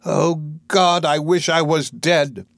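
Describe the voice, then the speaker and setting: A man's voice: medium pitch, moderate pace, dry recording, studio quality, pitched above the performer's own voice, natural voice. A man voicing a proud young working-class woman, emotional, bitter and defiant, in a play reading. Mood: despairing.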